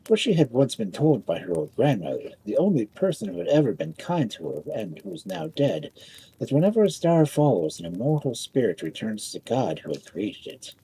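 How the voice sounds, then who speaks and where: A man reading a fairy tale aloud as a storyteller, dramatic and expressive, drawing out phrases for effect. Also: on the low side, moderate pace, slight background noise, poor audio.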